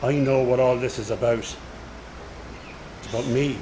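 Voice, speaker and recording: A man's voice: mid-range voice, steady tone, slow, noisy background. A man playing a sly, insolent circus hand in a radio drama, with a mocking, smug way of talking. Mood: defiant. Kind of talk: naturalistic radio drama acting.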